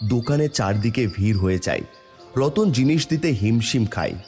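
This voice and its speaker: A man tells a scripted fable as narrator. His voice sits at a medium pitch, with music playing under the narration. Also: animated, moderate pace, a little mellow.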